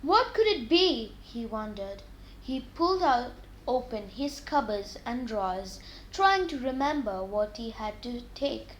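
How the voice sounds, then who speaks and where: A woman with a high voice reads stories aloud for children. Her delivery is scripted and deliberate, with expressive emphasis suited to simple moral tales. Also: slight background noise, poor audio. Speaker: children's story reader.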